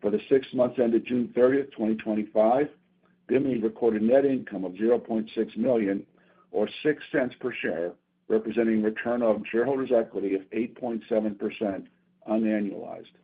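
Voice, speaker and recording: A man reading prepared company results on an earnings call in a formal, measured, businesslike way. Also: fairly low pitch, breathy edge. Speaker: company executive presenting quarterly results. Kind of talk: prepared remarks read from a script on an earnings call. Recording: thin, tinny phone conference line.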